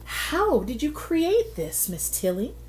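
Woman voicing a high, curious young girl. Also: wildly swooping pitch, a little hiss or hum, full character voice. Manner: wide-eyed, emphatic, drawn-out questions. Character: curious, earnest young girl, full of wonder.

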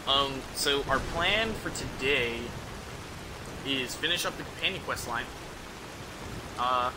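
A man's voice: medium pitch, slow pace, noisy background. A young man giving casual live game commentary in a rambling, informal, sweary way. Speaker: video game streamer. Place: indoor room at a streaming setup. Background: game music and sounds.

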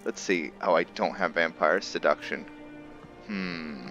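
A man giving casual video game commentary as a roleplay host, halting as he thinks aloud. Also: low voice, sharp tone. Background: game music.

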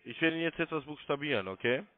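A man's voice: a little high, lively intonation, very fast, no echo. A man in his thirties working as a call-centre agent, politely and formally giving step-by-step instructions. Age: 30s. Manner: formal, polite, spells things out. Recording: narrow phone-line sound.

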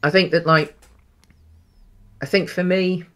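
A man's voice: sits high, steady tone, takes it slowly, a bit bright. A man in his thirties, co-hosting a casual film chat over a headset at home, talking with many ums and restarts. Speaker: podcast co-host. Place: home room, indoors.

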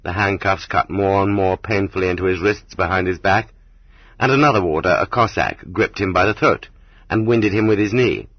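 A man reading an audiobook as scripted literary narration, in a measured, dramatic manner. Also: low voice, husky, dry recording. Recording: slightly dull, muffled audiobook recording.

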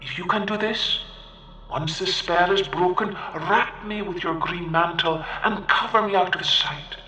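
A man playing a gentle, burdened young knight under a fairy spell, speaking softly and formally. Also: sits high, unhurried. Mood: grave. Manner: soft, grave, formal old-fashioned speech. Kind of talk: storytelling. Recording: roomy, distant mic with music.

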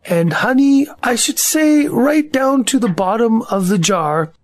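A woman playing a slow, dreamy, honey-loving bear in an audio drama, musing and ponderous. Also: a little high, animated, a little mellow, no echo.

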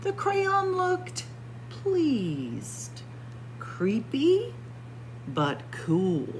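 A woman librarian reading a picture book aloud with dramatic, expressive storytelling and spooky whispers, in a library. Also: high-pitched, drawn-out words, a little hiss or hum. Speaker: children's librarian. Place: library with bookshelves.

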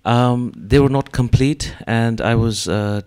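A man's voice: medium pitch, slow, darkish tone, clear, resonant tone. A man in his thirties, an artist speaking on a panel in a gallery, talking hesitantly with many fillers into a handheld mic. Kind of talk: panel discussion. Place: gallery room with audience.

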